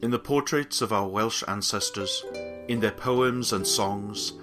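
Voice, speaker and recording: A man reading scripted documentary narration in a measured, solemn way. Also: fairly low pitch. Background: guitar music.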